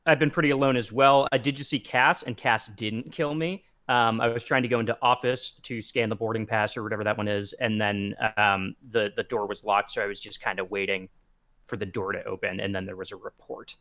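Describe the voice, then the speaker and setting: Male gamer guest, deadpan joker, relaxed chat. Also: fairly low pitch, a little fast. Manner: joking, deadpan asides. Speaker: game stream guest. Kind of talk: casual gaming chat.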